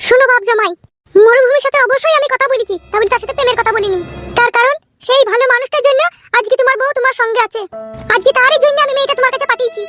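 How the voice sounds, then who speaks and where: A woman's voice: very high voice, steady tone, very fast, fairly bright tone, soft background music. A woman voicing an indignant, preachy village mother-in-law in a cartoon, with a scolding, insistent way of talking. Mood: defensive, earnest. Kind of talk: Bengali cartoon acting.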